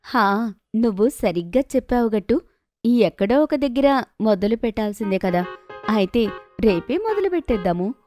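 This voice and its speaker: A woman voicing a kind, proud mother in a children's cartoon, gentle and encouraging. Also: on the high side, expressive, moderate pace, long pauses, faint music behind, lightly acted. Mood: pleased. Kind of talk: animated children's story dubbing.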